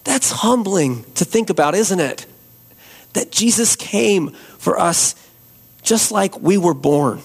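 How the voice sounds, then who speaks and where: A hoarse man preaching a Christmas Eve sermon and leading prayer as a pastor, slow and earnest. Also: high voice, expressive. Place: church.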